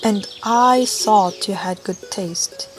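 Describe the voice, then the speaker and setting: A woman's voice: medium pitch, takes it slowly, faint music behind, natural voice. A woman playing a proud, vain red rose in a children's audio story, with a haughty, dismissive manner. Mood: scornful.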